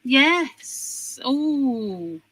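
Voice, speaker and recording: Slow-talking admiring woman. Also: sits high, very animated, breathy edge, dry recording. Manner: admiring, soft reactions, lots of "oh" and "mm". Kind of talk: casual chat.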